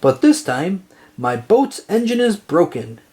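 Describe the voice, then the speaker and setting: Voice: medium pitch, big pitch swings, a little slow, a little mellow. A storyteller voicing a ghostly male pirate captain who bellows menacing threats in pirate talk, for a children's storybook. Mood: commanding.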